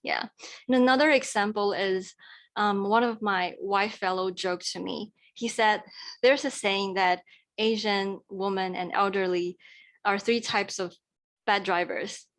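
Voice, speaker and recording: A woman's voice: medium pitch, steady tone, unhurried. Earnest young woman presenting. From Taiwan. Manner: earnest, careful delivery with fillers and restarts. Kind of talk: presentation talk.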